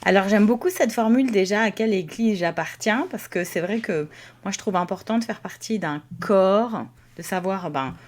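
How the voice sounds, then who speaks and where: A woman's voice: mid-range voice, normal speed, a little mellow. A woman from Alsace in France co-hosting a podcast as a pastor, talking conversationally and reflectively, rephrasing as she goes. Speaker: podcast co-host and Protestant pastor.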